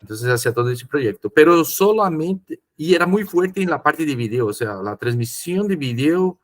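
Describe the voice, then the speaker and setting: A man's voice: fairly high pitch, expressive, normal speed. A man from Chile who grew up in Brazil, telling his career story in a casual podcast chat, rambling and repeating words. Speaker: podcast host.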